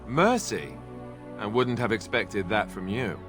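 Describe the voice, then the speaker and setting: An actor playing a sarcastic young warrior companion in a fantasy game, with a teasing manner. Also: male voice, fairly low pitch, very animated, measured pace. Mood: wry. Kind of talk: dramatic game dialogue. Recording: music clearly behind the voice.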